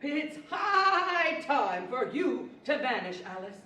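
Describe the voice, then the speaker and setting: Woman playing a slow, wry, high-voiced talking horse. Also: sing-song, sharp tone, full character voice. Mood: wry, warning. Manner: chuckling, knowing, drawn-out delivery. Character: wry, clever talking horse with knowing humour. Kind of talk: 1940s radio theater acting. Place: large library event room, live performance. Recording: echoey hall from far off, faint noise.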